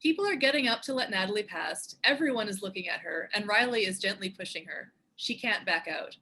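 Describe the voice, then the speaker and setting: A woman narrates scripted action for a table read. Her medium-pitched voice is bright and forceful, with a husky edge. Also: brisk, rough recording. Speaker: narrator and show co-creator. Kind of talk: scripted stage-direction narration.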